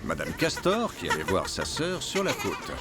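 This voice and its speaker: Animated male storyteller, lively intonation. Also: low-pitched, moderate pace, loud music behind the voice. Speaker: narrator. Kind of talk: scripted storytelling narration for animated film.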